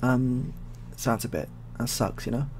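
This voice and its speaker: A man telling improvised stories in a soft-spoken ASMR style, with a rambling, repetitive, deadpan delivery. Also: medium pitch, moderate pace. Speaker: ASMR storyteller. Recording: clearly audible background noise.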